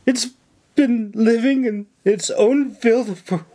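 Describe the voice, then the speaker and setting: Man doing a plaintive, high-pitched pleading woman. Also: animated, measured pace, darkish tone. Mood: tearful, overwrought. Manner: plaintive, breathless, melodramatic. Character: scheming female dragon keeper, tearful, pleading, sweetly flattering. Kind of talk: audiobook character voice.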